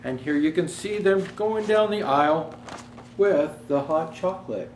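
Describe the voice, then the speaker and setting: Man playing an expressive, jolly storytelling Santa in echoey room. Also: on the high side, measured pace. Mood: gentle storytelling. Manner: jovial ho-ho greetings, chatty asides. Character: Santa Claus, jolly old storyteller reading to children. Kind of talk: children's storybook read-aloud. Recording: roomy echo, faint background noise.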